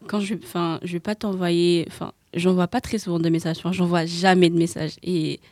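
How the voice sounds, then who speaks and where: Fast-talking teenage girl, low voice. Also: little pitch movement, darkish tone, dry recording. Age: teens. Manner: quick, hesitant, many fillers. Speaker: teen guest. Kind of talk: casual discussion.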